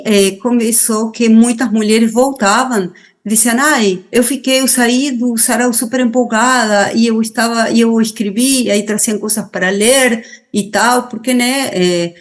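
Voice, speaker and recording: Argentine woman speaking Portuguese, hesitant. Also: on the high side. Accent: Argentine Spanish. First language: Spanish. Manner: hesitant, many fillers and restarts, tag questions. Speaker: interview guest, poet and activist. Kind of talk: reflective interview talk.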